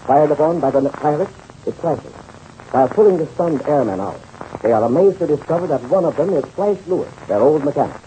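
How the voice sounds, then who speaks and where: A man's voice: a little high, lively intonation, a little fast, mellow, natural voice. A man narrating an adventure serial as an announcer in the dramatic style of 1930s radio. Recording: noisy, muffled old radio transcription.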